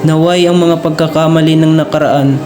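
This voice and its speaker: A man's voice: fairly high pitch, steady tone, normal speed, natural voice. A man playing a solemn patriotic hero, resigned to his fate and declaiming in an amateur radio drama. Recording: echoey room, music behind.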